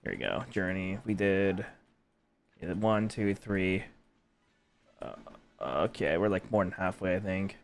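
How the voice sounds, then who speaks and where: A man's voice: low voice, animated, a little slow, creaky, pauses often. A young man giving casual, slangy, rambling commentary as he streams a game.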